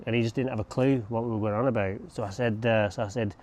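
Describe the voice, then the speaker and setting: A man's voice: fairly low pitch, steady tone, fast, a little mellow. A man chatting casually to camera while working outdoors, rambling with joking asides. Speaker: lawn care vlogger. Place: outdoors on a lawn.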